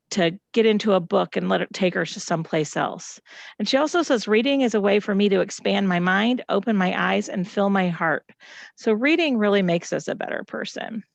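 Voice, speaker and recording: A woman's voice: mid-range voice, vocal fry, no room sound. A middle-aged woman, presenting a reading lesson to children and families and asking them questions. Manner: encouraging, asks the audience questions. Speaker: teacher presenting at family night.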